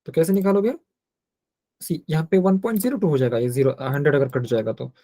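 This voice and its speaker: An Indian-accented man teaches an online class in a soft, dark-toned voice with very little room sound. He works through each step aloud, switching between Hindi and English and often putting questions to his students. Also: on the high side, rapid-fire, no room sound, studio quality. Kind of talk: interactive online lesson, working through problems aloud.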